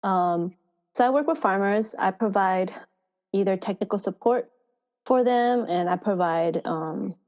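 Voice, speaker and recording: A woman's voice: medium pitch, a little slow, darkish tone. American woman chatting, slightly dull audio. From Thailand and United States. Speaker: podcast guest, farmer education manager. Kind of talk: conversational interview.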